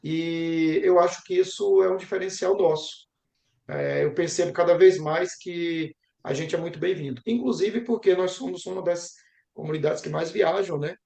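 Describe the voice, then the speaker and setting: A man's voice: high voice, fairly even intonation, moderate pace, creaky, mellow. A young Brazilian man speaking conversationally as an interviewed professor, talkative and reflective, often adding little tag questions. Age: young adult. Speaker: geography professor interviewed about travel. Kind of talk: conversational interview answers, storytelling. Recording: roomy, echoing sound.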